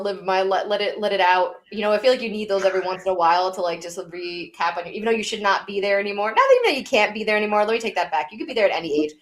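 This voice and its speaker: A woman in her thirties with a Long Island accent, co-hosting a live podcast and telling stories in casual chat, acting out the quotes. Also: mid-range voice. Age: 30s. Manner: animated storyteller, acts out quotes. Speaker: podcast co-host.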